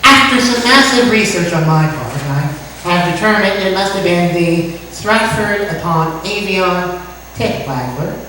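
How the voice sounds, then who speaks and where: A woman playing a self-important male talk show host obsessed with birds, pompous and deliberate, in old-time radio comedy style. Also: mid-range voice, animated, pushed and bright. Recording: echoey room, distant mic, faint noise.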